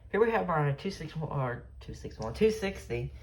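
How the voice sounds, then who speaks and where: A man's voice: fairly high pitch, lively intonation, normal speed. A man giving a casual, unscripted show-and-tell of his collection, rambling offhand and trailing off. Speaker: collector showing off a weather radio collection. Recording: noisy amateur recording with radios.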